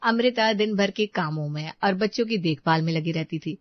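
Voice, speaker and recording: A woman's voice: lowish voice, moderate pace, dry recording, dull audio. A woman reading scripted narration as the storyteller of a family tale.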